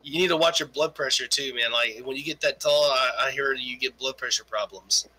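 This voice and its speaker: A man's voice: medium pitch, brisk, sharp tone, slight background noise, rough recording. A man in his forties in a crude, joking online voice-chat argument, stammering and swearing a lot. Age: 40s. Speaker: debate stream participant.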